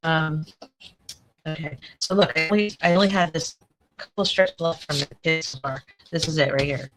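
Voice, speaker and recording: A woman with a raspy, allergy-worn voice, rambling and correcting herself as she talks through a live crafting tutorial at her desk. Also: deep voice, noisy background. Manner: chatty, rambling, self-correcting. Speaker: craft channel host.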